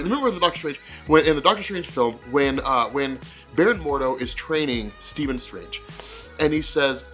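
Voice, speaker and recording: A man's voice: a little high, a bit bright. A man with a congested, cold-affected voice giving casual, rambling fan commentary as a video host, repeating himself and peppering his talk with "like". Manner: rambling, conversational, repeats phrases and says like often. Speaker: comics explainer video host.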